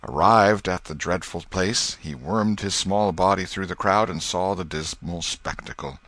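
A man narrating an audiobook in an expressive, literary storytelling style with a dramatic delivery. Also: low-pitched, a little slow, no room sound.

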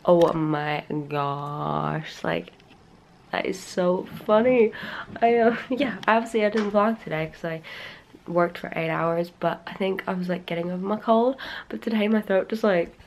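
A young woman with a hoarse voice that has gone deep from a cold, chatting casually to a handheld camera, giggly and rambling with many fillers. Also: unhurried, a little hiss or hum. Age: young adult. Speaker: vlogger. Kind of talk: casual vlog chat. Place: bedroom at home, then staff room at work. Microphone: handheld camera at arm's length.

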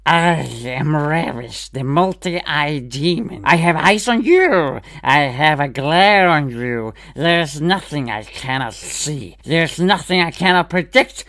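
A performer voicing a smug, all-seeing demon with many eyes who boasts in a measured way. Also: mid-range voice, lively intonation, fairly bright tone, no echo. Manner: measured, self-satisfied boasting.

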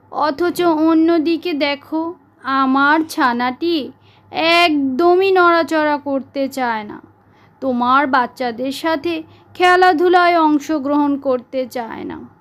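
A woman voicing a fretful male donkey who slowly and sadly laments to his friend in a storybook tale. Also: sits high, fairly bright tone. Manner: slow, pleading, lamenting.

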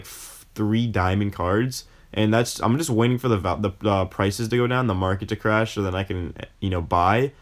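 A young man talking casually over gameplay as a YouTuber, rambling with lots of fillers and restarts. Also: fairly low pitch. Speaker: gaming YouTuber.

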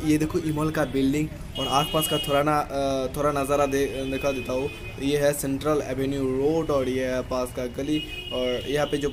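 A man's voice: fairly high pitch, slight background noise. A young man vlogging, talking casually to his viewers and often checking in with them. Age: young adult. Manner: chatty, keeps asking "ठीक है?". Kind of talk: casual vlog talk to camera. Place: indoors, plain room, then walking through a mall.

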